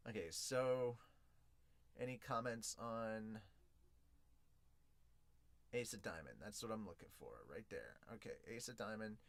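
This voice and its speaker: A man hosting a podcast in an excitable, informal, slangy way, chatting casually as he reviews and reads out comments. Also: lowish voice, measured pace, pauses often, no echo.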